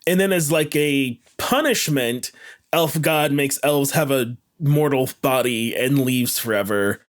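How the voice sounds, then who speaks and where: A man's voice: medium pitch, a little slow. A man chatting casually as a podcast panelist, retelling game lore in a slangy, irreverent way and acting out dialogue.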